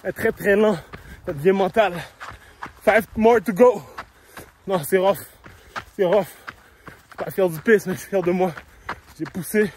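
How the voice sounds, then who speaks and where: A man's voice: high-pitched, unhurried. A man with a Quebec French accent vlogging to his phone while running outdoors, sick and out of breath. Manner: talking to the camera mid-run, with English slang mixed in. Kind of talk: casual running vlog. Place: outdoors on a road. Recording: loud outdoor noise.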